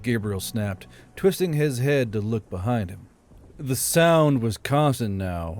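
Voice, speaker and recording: A man's voice: on the low side, mellow. A man narrating an audiobook story in a dramatic, scripted style.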